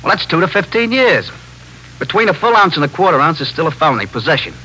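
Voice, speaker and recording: A small-time street pusher in a 1950s radio drama. His bright, forceful voice races through slangy, self-justifying stories. The old recording carries a faint hum and hiss. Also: on the high side, big pitch swings, quick pace, rough recording. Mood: rambling, rueful.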